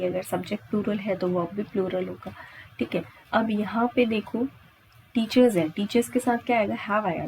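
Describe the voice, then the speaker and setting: A woman's voice: mid-range voice, darkish tone, slight background noise, poor audio. A woman with an Indian accent teaching grammar step by step, often checking with questions that listeners follow. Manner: instructional, checks understanding with frequent questions and tags. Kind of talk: exam-prep lesson, explaining step by step.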